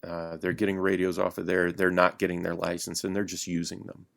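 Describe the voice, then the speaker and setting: A man with a low, gravelly voice talks as a guest on a casual podcast. His unscripted speech is halting, with frequent pauses in the middle of sentences. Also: completely flat delivery, fairly bright tone. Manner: halting, pausing often mid-sentence. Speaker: podcast guest, radio hobbyist.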